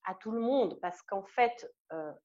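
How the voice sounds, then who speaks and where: A woman from Switzerland with a Swiss French accent, giving an enthusiastic, halting testimonial full of fillers and restarts. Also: medium pitch, lively intonation, a little mellow, lo-fi sound. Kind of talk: casual testimonial interview.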